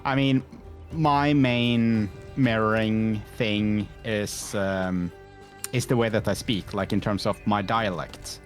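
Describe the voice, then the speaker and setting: Low-voiced man, halting chat. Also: steady tone, faint music behind. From Norway. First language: Norwegian. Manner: hesitant, many fillers and restarts. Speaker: streamer. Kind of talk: casual chat during a game stream.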